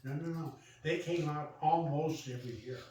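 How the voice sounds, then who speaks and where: A man's voice: a little high, steady tone, darkish tone. Older American man in a very echoey room. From Central Valley, California, United States. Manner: halting, reminiscing. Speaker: storyteller. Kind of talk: casual personal storytelling. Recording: roomy echo, distant mic, faint noise.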